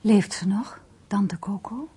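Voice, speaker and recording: An actress playing a coy, teasing younger woman in an intimate radio drama, with a soft, breathy voice. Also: mid-range voice. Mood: curious, coaxing. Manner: coy, playful, slow.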